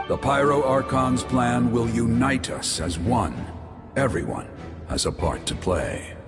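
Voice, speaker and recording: Old-world warrior spirit from a game, slow gravelly voice, solemn, music behind. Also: male voice, deep voice, heavily acted. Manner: measured, solemn, approving. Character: wise, battle-scarred ancient male warrior spirit, solemn. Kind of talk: video game character acting.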